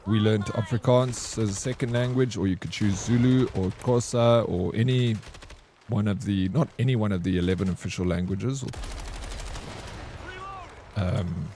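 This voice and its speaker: South African man, gaming streamer, relaxed warm tone, casual halting chat, faint noise behind. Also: on the low side. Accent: South African English. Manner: rambling and hesitant, full of ums and restarts.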